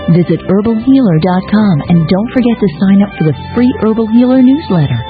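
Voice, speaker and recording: A man's voice: high-pitched, animated, a little fast, narrow, tinny audio, music in the background. A man announcing a scripted radio commercial.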